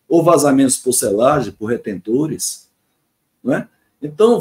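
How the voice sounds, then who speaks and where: A man's voice: a little high, darkish tone. A man in his sixties with a Brazilian accent, explaining his work as a consultant in an earnest way, stressing points through repetition and lists. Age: 60s (62). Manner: earnest, emphatic repetition and listing. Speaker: podcast guest, engineering consultant. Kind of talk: explanatory interview answer, semi-formal.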